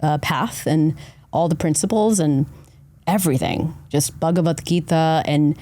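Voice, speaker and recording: A woman hosts a podcast and tells her story earnestly, often checking in with "right?" Her voice is very low and warm. The sound is dry and close. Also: measured pace, no room sound. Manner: earnest, animated storytelling with many "right?" tags. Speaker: podcast host. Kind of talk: casual podcast conversation.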